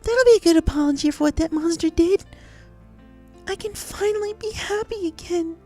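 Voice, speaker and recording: A woman's voice: very high-pitched, moderate pace, dark tone, soft background music. A woman playing a desperately upbeat, haunted cartoon pony who reads out her diary with earnest, exaggerated cheer. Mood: hopeful, excited. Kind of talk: dramatized diary reading of fan fiction.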